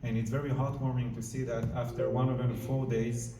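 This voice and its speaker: A man's voice: medium pitch, level tone, a little fast, mellow, a little hiss or hum. Man speaking in an echoing hall. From France. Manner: earnest, informal, searching for words. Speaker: diplomat. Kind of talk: speech to a live audience. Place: large community hall. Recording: roomy hall, mic at a distance.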